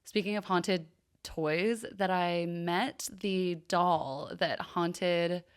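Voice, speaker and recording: A woman's voice: fairly low pitch, fairly even intonation, extremely slow, smooth. Casual, chatty woman podcaster. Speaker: podcast co-host. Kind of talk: casual chat.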